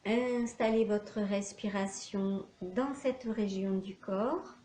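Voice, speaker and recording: A woman leading a guided yoga nidra relaxation as an instructor, speaking in short instructional phrases with long pauses. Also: medium pitch, mellow. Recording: echoey room, faint background noise.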